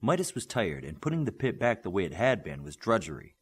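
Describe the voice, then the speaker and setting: Deep-voiced man reading with lively pitch. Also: fairly quick, breathy edge. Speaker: audiobook reader. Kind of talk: scripted narration of a superhero novel.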